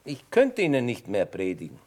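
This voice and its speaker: Radio play: down-at-heel chaplain, solemn, dignified, very animated intonation. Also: male voice, medium pitch, moderate pace. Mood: indignant, pious. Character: shabby down-at-heel army chaplain, dignified, preachy, protective. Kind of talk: German radio play acting.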